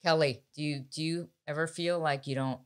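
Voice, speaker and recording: A woman's voice: deep voice, steady tone, fairly quick. An American woman in her fifties talking candidly and emphatically as the host of a conversational panel. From Ohio, American Midwest. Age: 50s. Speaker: talk show host and journalist.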